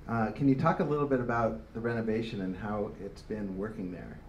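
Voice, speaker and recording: A middle-aged man asking an interview question onstage, halting and hesitant with fillers. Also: fairly low pitch, steady tone, highs cut off. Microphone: handheld microphone. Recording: roomy, distant, faint hiss.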